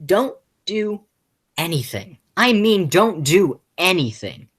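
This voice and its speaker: A teenage girl playing a flustered, exasperated man in a school radio play, stammering and halting as she speaks. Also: lowish voice, sing-song, husky, full character voice. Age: teens. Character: flustered, exasperated male theater critic and nephew.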